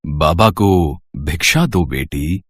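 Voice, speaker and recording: A man's voice: low voice, expressive, slight background noise. A man voicing a serene, kindly old holy man who speaks solemnly, as in a devotional story. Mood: benevolent. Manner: slow, solemn blessing.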